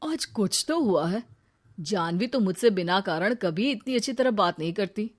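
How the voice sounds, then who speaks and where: A woman's voice: medium pitch, animated, moderate pace, close to an everyday voice. A woman voicing an exasperated young married man who complains wearily about the fighting at home. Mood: suspicious. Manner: weary, complaining inner monologue. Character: exasperated young married man caught between mother and wife. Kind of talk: cartoon story voice acting.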